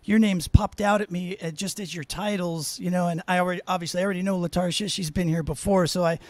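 A man's voice: high-pitched, steady tone, fast, a little mellow, no echo. A middle-aged man hosting a casual video-call show, enthusiastic and rambling, often repeating himself. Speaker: podcast host. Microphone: studio mic on a stand.

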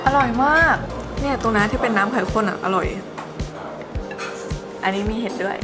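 Higher-voiced young woman reviewing cafe food. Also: moderate pace. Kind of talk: casual food review chat. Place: indoor cafe. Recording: loud background music.

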